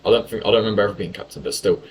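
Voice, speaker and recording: A man's voice: on the low side, rapid-fire, a little mellow. A young man from England telling a story in a casual monologue, talkative and full of swearing and self-corrections. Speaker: YouTuber.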